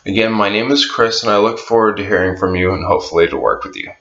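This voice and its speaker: A young man introduces himself as a tutor, earnest and a little halting, with plenty of ums and uhs. His voice sits very low. The webcam audio is dull and lo-fi, with echo from a bare bedroom. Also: a bit bright. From Atlanta, Georgia. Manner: earnest, halting with frequent fillers. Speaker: online English tutor. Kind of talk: self-introduction to camera. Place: small bedroom, indoors. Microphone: webcam at desk.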